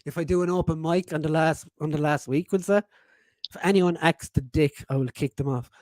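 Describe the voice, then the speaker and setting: A man's voice: a little high, brisk, a little mellow, dry recording. A man in his forties hosting a casual live-stream podcast, reading chat messages aloud, laughing often and swearing freely. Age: 40s.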